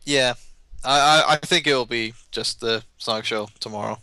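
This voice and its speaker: Laid-back young man, bright voice. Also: medium pitch, moderate pace, no echo. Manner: brief, laid-back replies. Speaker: guest streamer. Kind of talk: casual chat on a Skype call.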